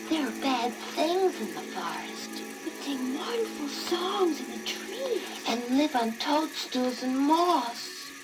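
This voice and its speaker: A woman's voice: sits high, low-quality recording. A woman playing an anxious, earnest young child in a dramatic fairy tale on an old children's record. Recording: old record with loud music.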